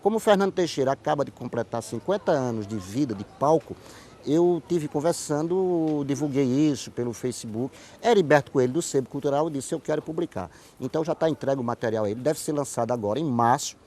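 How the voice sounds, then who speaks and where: A man's voice: medium pitch, fairly quick, mellow, a little hiss or hum. A man with a Northeastern Brazilian accent, a writer answering in a conversational interview and telling stories, often repeating words and phrases for emphasis. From Paraíba, Northeast Brazil. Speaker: writer and playwright, interview guest.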